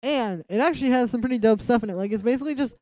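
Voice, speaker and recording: A young man with a nasal voice hosting a casual music production livestream from a small room at home. He talks in a relaxed, rambling way, full of "like" fillers, and mumbles asides to himself. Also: very high voice, rapid-fire, slight background noise.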